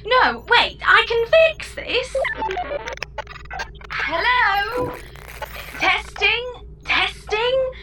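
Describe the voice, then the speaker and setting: Cheeky ship computer, high and swooping, fussy, theatrical, comedy sci-fi audio drama. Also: female voice, lively intonation, drawn-out words, long pauses, over loud music, exaggerated voice, poor audio. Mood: flustered, fussy. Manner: theatrical, fussy, self-amused. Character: perky, cheeky female-voiced spaceship pod computer.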